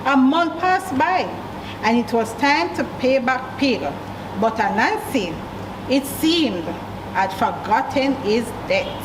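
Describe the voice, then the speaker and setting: A woman with a Jamaican accent reading a story aloud to children, with deliberate emphasis and questions to young listeners. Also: high-pitched, lively intonation. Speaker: library storyteller. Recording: echoey room, distant mic, clear background noise.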